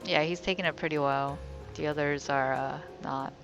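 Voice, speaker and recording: A woman's voice: low voice, steady tone, moderate pace, muffled recording, soft background music. A woman giving casual let's play commentary, rambling and thinking aloud in a wry way. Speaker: gamer, let's play host.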